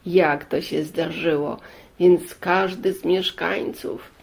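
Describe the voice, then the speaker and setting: A woman storyteller telling a fairy tale in deliberate short phrases, with many pauses and repeats. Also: on the low side, fairly even intonation, creaky.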